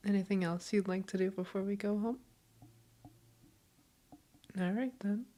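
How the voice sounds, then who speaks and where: A woman playing a doting, gently teasing girlfriend in an intimate roleplay audio, affectionate and reassuring. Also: mid-range voice, fairly even intonation, unhurried, natural voice. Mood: easygoing, caring.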